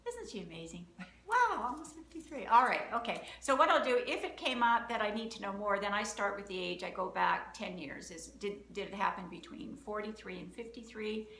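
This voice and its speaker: A woman's voice: medium pitch, expressive, brisk, creaky, bright, forceful tone. A woman acting as a practitioner and host, walking through an energy-healing session aloud and counting through options in a chatty, upbeat way. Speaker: emotion code practitioner and host. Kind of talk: live energy-healing demonstration, talking through steps. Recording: roomy, slight background noise.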